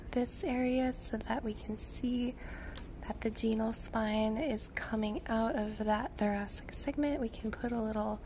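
A young woman speaking in a soft, hushed voice, thinking aloud in an unhurried, informal way as she gives an ASMR drawing tutorial. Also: fairly high pitch. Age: young adult. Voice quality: soft, hushed, sometimes slipping into whisper. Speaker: illustrator and paleontology student demonstrating drawing. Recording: clear background noise, pencil scratching on paper. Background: pencil and paper sounds, room noise.

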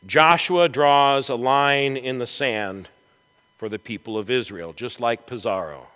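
A man preaching a storytelling sermon in a church, with a dramatic, deliberate delivery and emphatic swells. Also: medium pitch, sharp tone. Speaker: pastor preaching. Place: large church sanctuary, from the chancel. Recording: echoey church hall with long reverb.

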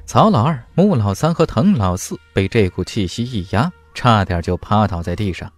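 Animated male audiobook narrator. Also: on the low side, normal speed, no room sound. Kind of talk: dramatic scripted fantasy narration. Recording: dry studio voice over music.

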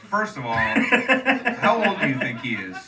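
Young male co-host, bright and loose, rambling live-stage storyteller; voice sits a bit back in the room. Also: mid-range voice, huge pitch swings, unhurried, distant mic. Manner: rambling storyteller, swings loud and soft. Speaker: podcast co-host. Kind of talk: live podcast banter on stage. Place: comedy club stage before an audience. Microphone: handheld mic.

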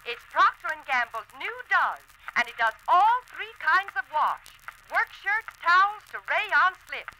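An actress playing a cheerful wartime housewife who delivers a soap pitch on a 1940s radio commercial record. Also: female voice, very animated, unhurried, hardly any pauses, bright, forceful tone, exaggerated voice, rough recording. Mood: chirpy. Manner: chirpy sales pitch.

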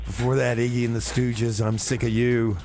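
A man's voice: medium pitch, flat delivery, normal speed. Male radio host with noisy background. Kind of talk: community radio DJ chat and comedy banter. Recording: clearly noisy, with clicks.

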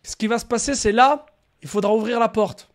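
A young man with a notably high voice talks on a casual live stream. He is animated and slangy, swears freely and spins crude stories like a prank caller. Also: brisk. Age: young adult. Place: small room at home.

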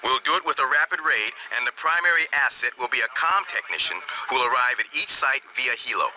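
An actor playing a tough, urgent military commander who gives forceful, clipped orders in a video game briefing. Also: male voice, mid-range voice, lively intonation, a little fast, slight background noise, poor audio. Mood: urgent, commanding.

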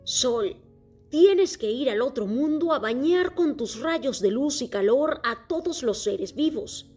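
Lady reading a worried wise king, slow and patient, bit high. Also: animated, a little mellow, husky, faint music behind, exaggerated voice. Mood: earnest. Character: wise worried male king from another planet. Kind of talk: storybook character voice.

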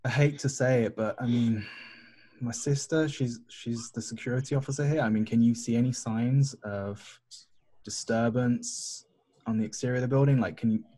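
A man's voice: mid-range voice, fairly even intonation, normal speed, dark tone, husky. A man chatting casually as a player in an online tabletop game.

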